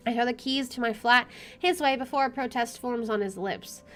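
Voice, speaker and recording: A woman reading a visual novel aloud as a gamer, expressive and opinionated in her delivery. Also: on the high side, measured pace, faint music behind. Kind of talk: reading game narration aloud with casual commentary.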